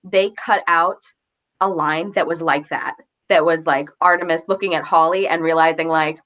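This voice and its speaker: A woman's voice: deep voice, moderate pace. A woman co-hosting a casual book discussion, acting out quoted asides and often saying "like", on a dull recording. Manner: animated retelling with quoted asides, frequent "like". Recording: dull lo-fi audio.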